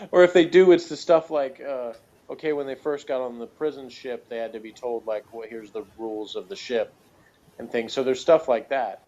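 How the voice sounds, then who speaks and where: A man chatting casually as a review co-host, talking in a wordy, halting, rambling way. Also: medium pitch, a little mellow. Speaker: podcast co-reviewer. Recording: dull, lo-fi sound.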